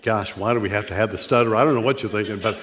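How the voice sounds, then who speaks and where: An older man giving a sermon as a guest preacher, speaking with a stutter, frequent pauses and restarts, and dry self-deprecating humor. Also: lowish voice, a bit bright, slight background noise. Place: church sanctuary.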